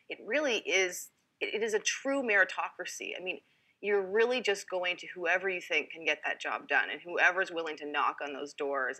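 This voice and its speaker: A woman from Canada speaks on a business panel as a company founder. Her voice sits low with a bright edge. She talks earnestly, often restarting her phrases. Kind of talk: panel discussion.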